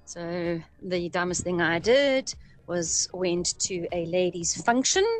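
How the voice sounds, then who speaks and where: A woman's voice: on the low side, slow. A chatty woman phones in to tell a story against herself. She tells it with easy self-mockery and a laugh never far away. Faint music runs underneath the call. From Gqeberha, South Africa. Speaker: caller.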